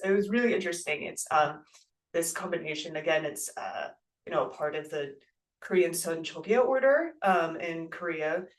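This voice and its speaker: A guest who grew up in the United States, speaking in a thoughtful, halting way with many fillers and soft laughs. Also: medium pitch, distant mic. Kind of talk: reflective casual interview talk.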